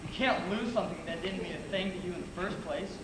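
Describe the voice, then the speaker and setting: A man's voice: sits high, fast, natural voice. Casting: male actor as smug young rival who taunts, stage drama; very echoey hall, noisy capture. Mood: cold. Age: young adult. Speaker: stage actor in a one-act play. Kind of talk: amateur stage acting, projected dialogue. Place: theater stage. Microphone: distant audience camera.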